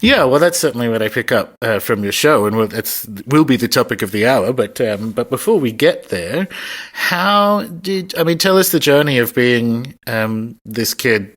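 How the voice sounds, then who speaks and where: A man's voice: mid-range voice, expressive, normal speed, a bit bright. A man hosting a podcast, speaking as presenter and interviewer. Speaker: podcast host. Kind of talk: scripted podcast introduction, then casual interview. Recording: recorded in a pub, cleaned up.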